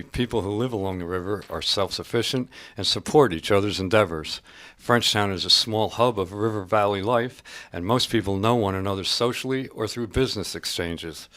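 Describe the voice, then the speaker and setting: A man acts out a thoughtful small-town local in a radio play. His voice is low and deep, and he explains things plainly, with composure. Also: a bit bright. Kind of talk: scripted community radio theatre acting.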